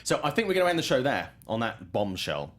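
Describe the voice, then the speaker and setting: A man's voice: medium pitch, very animated, quick pace. A man hosting a film news show in a casual, chatty and enthusiastic way.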